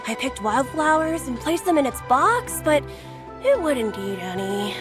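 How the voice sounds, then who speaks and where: A woman's voice: sits high, very animated, unhurried, music in the background. A woman voicing a curious, friendly young onlooker in an anime game.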